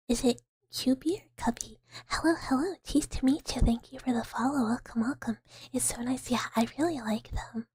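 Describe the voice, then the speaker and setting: A woman's voice: on the high side, a little mellow, breathy edge. A woman whispering breathily into a close microphone in a playful, rambling ASMR livestream chat. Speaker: ASMR streamer chatting with viewers.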